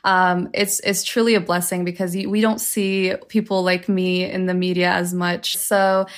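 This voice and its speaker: A woman's voice: fairly low pitch, level tone, normal speed. A woman in her twenties from Canada, telling her own story in a casual, chatty way with lots of filler words. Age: 20s. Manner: chatty, with filler likes and laughs. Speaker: interview guest.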